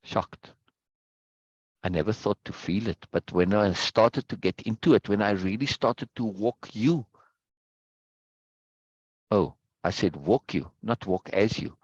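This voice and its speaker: A low-pitched South African man with a rural accent gives an informal, unscripted lesson. He is emphatic and repeats key phrases, checking in as he goes. The sound is close and dry but somewhat dull and lo-fi. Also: a little slow, pauses often, a little mellow, slightly rough, no room sound. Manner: emphatic, repetitive, often checks with "right?" and "yes?". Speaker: teacher of a personal-growth course.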